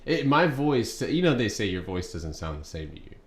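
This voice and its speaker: A man's voice: lowish voice, very animated, normal speed. A young man hosting a live stock trading stream, talking casually and rambling with many ums and uhs. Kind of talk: live market commentary. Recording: echoey room, faint background noise.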